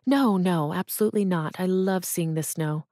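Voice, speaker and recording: A woman voicing an eager, slightly flustered young woman writer who answers quickly. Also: fairly low pitch, mellow, no echo, clean studio sound, lightly acted.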